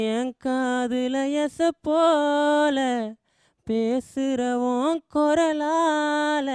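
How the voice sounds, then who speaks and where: A woman's voice: high-pitched, darkish tone, clear, resonant tone, no room sound, studio quality. A woman singing film songs as an audition contestant.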